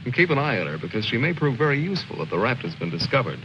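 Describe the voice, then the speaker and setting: A composed army lieutenant from an old war film, giving orders with articulate, condescending authority. His pitch moves expressively, and the soundtrack is dull with clear background noise. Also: sing-song, racing, sharp tone. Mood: calm, commanding. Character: composed, authoritative male army lieutenant leading stranded soldiers.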